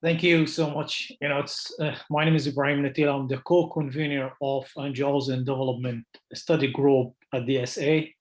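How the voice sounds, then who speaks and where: Man with a flat, even delivery. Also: medium pitch, normal speed, hardly any pauses. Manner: loose, leans on filler phrases. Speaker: research fellow.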